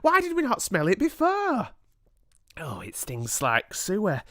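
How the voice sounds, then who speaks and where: A storyteller voicing agitated female witches in shrill, outraged exclamations. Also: wildly swooping pitch, measured pace, a bit bright, dry recording, clean studio sound. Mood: outraged, shrieking.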